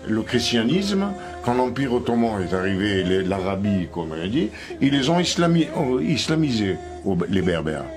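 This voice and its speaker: An older man from Algeria, a guest on a television panel, explaining at length with many hesitant fillers. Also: mid-range voice.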